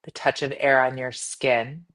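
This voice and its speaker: A woman leading a guided meditation in a gentle, soothing manner, speaking in measured phrases with long pauses. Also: very deep voice, steady tone. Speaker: yoga nidra meditation guide.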